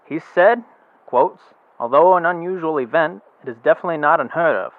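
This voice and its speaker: A man's voice: on the high side, a little fast, dry recording, close to an everyday voice. A man playing a chatty small-town radio DJ. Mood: excited. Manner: casual, folksy, upbeat chatter. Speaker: radio announcer. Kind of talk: amateur radio drama acting. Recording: tinny phone-like radio sound, very dry.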